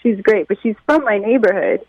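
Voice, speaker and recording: An American woman from Detroit, a musician, answering interview questions in a casual, animated storytelling way with a creaky voice. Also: medium pitch, a little fast, no room sound, highs cut off. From Detroit, United States. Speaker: musician and songwriter being interviewed.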